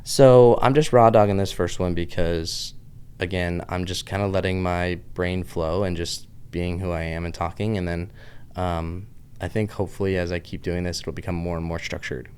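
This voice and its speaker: A man's voice: deep voice, steady tone, moderate pace, a little mellow, smooth. A man in his thirties with a Pittsburgh accent touched by the Southern US, talking in a chatty, tangent-hopping vlog monologue full of "like". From Pittsburgh, USA. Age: 30s. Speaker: vlogger host. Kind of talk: casual rambling monologue.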